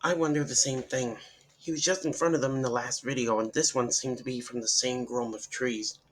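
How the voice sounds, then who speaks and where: A man with a husky voice giving a dramatic, somewhat halting reading of a horror story as its narrator. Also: medium pitch, fairly even intonation, a little hiss or hum.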